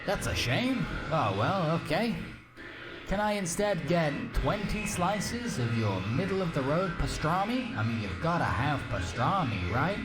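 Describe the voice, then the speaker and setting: A jittery stand-up comic tells his joke in bouncy, put-on voices as a man acts him out. His pitch leaps all over the place, and loud music plays underneath. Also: very animated, measured pace. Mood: joke-telling. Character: nervous male stand-up comic, adult.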